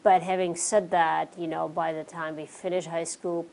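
A woman in her forties from the Czech Republic with a Czech accent, relaxed as an interview guest, sprinkling in fillers. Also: lowish voice, normal speed, gravelly. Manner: relaxed, many fillers like "you know" and "um". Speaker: interview guest, pro cyclist. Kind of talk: casual interview answers. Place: small studio with curtains, indoors.

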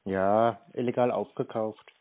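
A man's voice: fairly low pitch, fairly even intonation, measured pace. A man in a casual phone argument, giving terse, unfazed contradictions. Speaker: scam baiter. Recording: dry, tinny phone-band sound.

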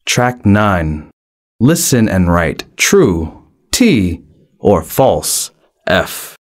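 A man's voice: sits low, very animated. A man announcing track numbers and exercise instructions for a language course. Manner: very slow, deliberate announcing. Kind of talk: reading instructions.

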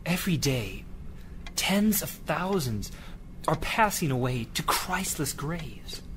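An actor playing a devout, burdened missionary preacher in an audio drama, grave and fervent in manner. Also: male voice, fairly high pitch, big pitch swings, takes it slowly, fairly bright tone, a little hiss or hum, heavily acted. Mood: grave, resolute.

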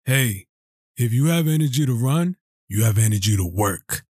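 A man's voice: medium pitch, expressive, moderate pace, darkish tone, husky, no room sound, studio quality. A man playing a stern, hard-working farmer father in an audio drama.

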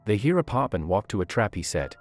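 A man reads a scripted movie recap, telling the plot in a steady storytelling way. His voice sits very low but moves up and down a lot as he goes. Soft music plays faintly underneath. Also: a little fast. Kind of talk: scripted narration.

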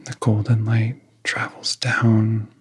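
A man speaking softly and soothingly in an ASMR guided relaxation, often dropping into a near-whisper, close to the microphone. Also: on the low side, completely flat delivery, slow pace, husky.

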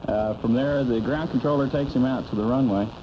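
A man's voice: mid-range voice, fairly quick. An American man explaining procedures as an air traffic controller guest, in a matter-of-fact way. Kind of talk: explanatory interview talk. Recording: muffled 1980s AM radio cassette, loud noise.

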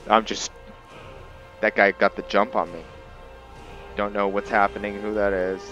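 A man giving casual roleplay gameplay commentary, thinking aloud in short, halting phrases as he plays. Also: fairly low pitch, level tone, muffled recording. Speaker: gaming video host, player. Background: game music.

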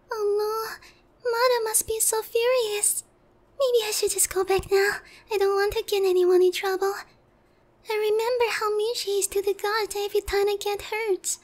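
A woman's voice: very high-pitched, darkish tone. A woman playing a naive, sheltered young harpy noblewoman in a roleplay ASMR, polite, hesitant and earnest in a girlish way. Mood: worried, subdued.

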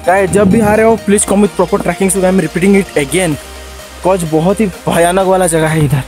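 A man's voice: high-pitched, fairly even intonation, unhurried, over music. A young man from Odisha gives casual travel-vlog commentary outdoors into a handheld phone, mixing in English phrases and repeating himself for emphasis. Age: young adult. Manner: mixes English phrases into his talk, repeats warnings. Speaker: travel vlogger. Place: outdoors, steep forest trail. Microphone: handheld phone at arm's length.

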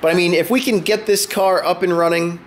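A man in his twenties, sick and sounding a bit deeper than usual, chatting upbeat to a handheld camera in his home garage. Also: fairly even intonation, a little fast. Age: 20s. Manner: chatty and upbeat, joking with a friend. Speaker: car vlogger. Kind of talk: casual vlog talk to camera. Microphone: handheld camera close to face.

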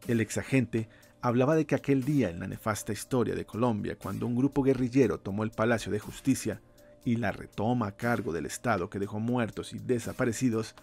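A man reading a scripted horror story as narrator, slow and ominous, dropping his voice low on the grim lines. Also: gravelly, a little mellow, slightly rough, faint music behind.

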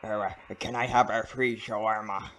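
A man's voice: lowish voice, fairly even intonation, moderate pace, fairly bright tone, lightly acted. A man voicing a confused, cranky old man who stammers and insists his way through a prank phone call. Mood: pleading. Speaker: prank caller. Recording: noisy lo-fi recorded phone prank.

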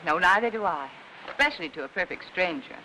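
An American woman in her thirties acting a sweet, playful, easily flustered young woman with coy, expressive delivery in a 1950s Hollywood film. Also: on the low side, quick pace, close to an everyday voice. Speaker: actress. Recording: faintly noisy vintage film soundtrack.

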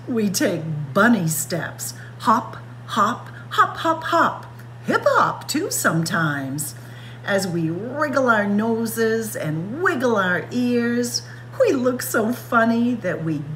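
A woman reads a picture book to young children at a very slow, careful pace. Her pitch moves in lively swings as she draws out and acts out the words. There is a faint noise and hum behind her in the room. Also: low-quality recording. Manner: expressive, child-directed delivery, stretching and acting out words. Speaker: librarian reading aloud for children. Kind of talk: picture-book story time read-aloud. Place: indoors, library room with bookshelves.

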